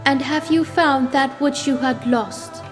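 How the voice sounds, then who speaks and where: A woman playing a gentle, serene spirit mother who speaks formally and soothingly to her grown son in an audio drama. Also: high-pitched, measured pace, music in the background. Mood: serene, tender. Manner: formal, soothing, solemn phrasing. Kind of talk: fan comic audio drama acting.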